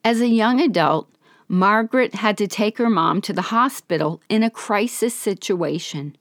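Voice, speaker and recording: A woman podcast host narrating a story in a calm, gentle, measured way while slightly hoarse from being under the weather. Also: medium pitch, dry recording, studio quality.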